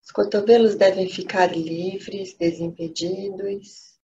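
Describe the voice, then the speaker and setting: A woman with a Brazilian accent leading a guided meditation, gently and unhurriedly, pausing between short phrases. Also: mid-range voice. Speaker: meditation teacher. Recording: dull lo-fi audio with steady noise.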